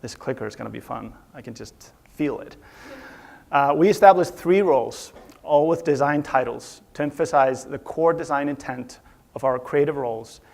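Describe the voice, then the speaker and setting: A man from Iceland giving a measured, slightly formal conference talk about leading a design team. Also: mid-range voice, vocal fry. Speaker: conference speaker, studio UI/UX director. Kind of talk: presentation talk. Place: conference stage.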